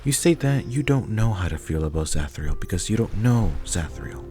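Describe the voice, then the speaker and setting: A man's voice: deep voice, sing-song, moderate pace, mellow. A man reading scripted second-person story narration for an ASMR roleplay. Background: faint music.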